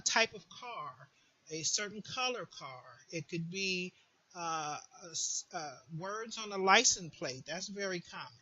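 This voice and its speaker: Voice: mid-range voice, pushed and bright, husky, dull audio. A person giving an informal, earnest advice monologue, repeating phrases for emphasis, as a support group host would.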